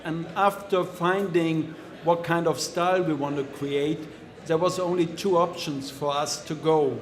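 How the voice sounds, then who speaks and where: A man's voice: on the high side, steady tone, unhurried. A man with a German accent, speaking on a wine panel, earnest and plain in his explanations. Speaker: winemaker panelist. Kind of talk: panel discussion.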